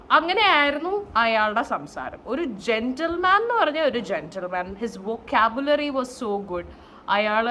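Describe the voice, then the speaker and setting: A woman telling a story in a casual, animated vlog style, mixing in English phrases. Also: a little high. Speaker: vlogger and saree store owner. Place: at home, indoors. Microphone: no external microphone, camera's own mic. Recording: faint noise, no microphone.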